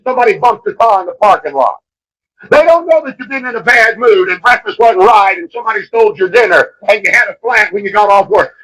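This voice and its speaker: A middle-aged man preaching a fervent fundamentalist sermon, emphatic and repeating phrases, swinging between hushed gravity and shouting, on an old cassette recording. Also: sits high, low-quality recording.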